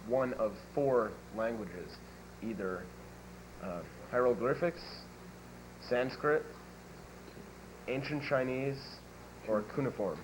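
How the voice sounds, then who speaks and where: A teenage boy playing a college admissions officer in a school TV sketch, answering everything in a flat, matter-of-fact way. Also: medium pitch, slow, long pauses, natural voice. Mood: deadpan. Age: teens. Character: deadpan absurd male college admissions officer. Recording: noisy 1980s school videotape.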